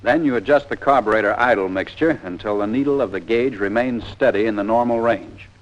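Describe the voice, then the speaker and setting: A low-pitched American man reads scripted instructions as the narrator of a training film. He is plain-spoken and methodical, setting out each step in order. The sound is a dull, lo-fi soundtrack from the 1940s. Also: brisk.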